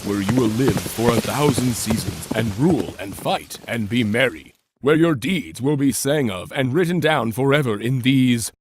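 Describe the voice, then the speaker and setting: A man giving a deadpan, mock-solemn dramatic reading of a comic adventure story. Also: medium pitch, normal speed, few pauses, noisy background. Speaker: narrator.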